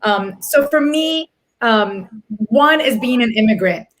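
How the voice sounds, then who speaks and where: A middle-aged woman raised in the Dominican Republic speaking on a panel over a video call, earnest and reflective, often pausing and restarting her sentences. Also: fairly high pitch, animated, rough recording. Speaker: panelist, corporate people executive. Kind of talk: panel discussion.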